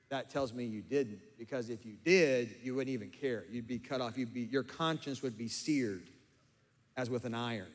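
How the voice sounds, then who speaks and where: Slightly hoarse pastor preaching in a hall. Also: male voice, medium pitch. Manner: builds from calm teaching to fervent emphatic preaching. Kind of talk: sermon. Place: church sanctuary with congregation, also streamed online. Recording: long hall reverb.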